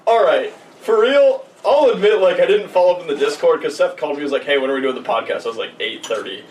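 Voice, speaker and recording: A man's voice: on the high side, expressive, quick pace. A young man chatting casually with friends on a podcast, needling and sarcastic. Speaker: podcast regular. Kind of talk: casual chat among friends. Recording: distant mic, faint noise.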